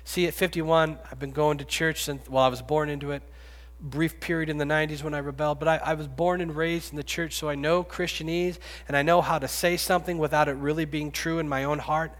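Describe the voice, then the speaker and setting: A man in his fifties preaching a sermon, rising to loud pleading and dropping to hushed whispers. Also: on the high side, fairly even intonation, brisk, hardly any pauses. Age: 50s. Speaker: pastor. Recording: long reverb, echoey hall.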